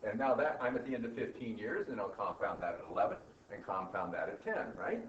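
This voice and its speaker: A man's voice: fairly low pitch, big pitch swings, fast. Male teacher, conversational explainer who checks understanding; muffled, roomy recording. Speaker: finance teacher. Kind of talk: informal classroom explanation, thinking aloud. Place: indoor classroom. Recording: echoey room, distant mic, muffled, faint noise.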